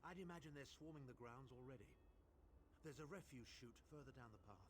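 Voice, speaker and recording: An actor playing a resolute, grave imperial ally in a fantasy video game, speaking formally with dramatic urgency. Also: male voice, medium pitch, lively intonation, a little fast, slight background noise, close to an everyday voice. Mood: grave resolve.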